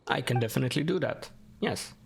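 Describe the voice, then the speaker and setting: A man's voice: mid-range voice, brisk, vocal fry, noisy background. A man streaming a video game with casual running commentary. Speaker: gaming streamer.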